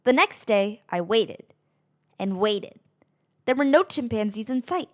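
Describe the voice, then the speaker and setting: A woman reading a children's picture book aloud in an animated, expressive way, drawing out phrases for dramatic emphasis. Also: on the high side, a little slow, no echo, clean studio sound. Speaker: read-aloud narrator of children's books.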